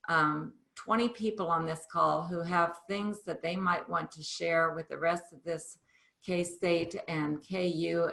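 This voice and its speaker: A woman hosts an informal online call. Her voice is fairly low with a strong gravelly creak, and she speaks haltingly, pausing often. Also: a bit bright, roomy sound. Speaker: host of a monthly conference call. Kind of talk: informal spoken introduction. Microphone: remote conference call.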